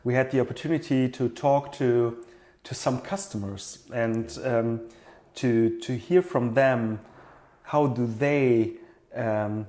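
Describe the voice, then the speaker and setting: Halting, thoughtful man explaining a design. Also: medium pitch, steady tone, dark tone. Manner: halting, thoughtful, repeats words while explaining. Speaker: product designer being interviewed. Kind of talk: explanatory interview talk.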